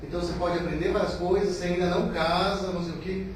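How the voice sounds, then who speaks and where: A man with a slightly high voice who reasons aloud step by step and uses many fillers. He is taking part in a group discussion. The sound is echoey and noisy, heard from far off. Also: steady tone, quick pace. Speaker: discussion participant. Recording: echoey room, distant mic, noisy.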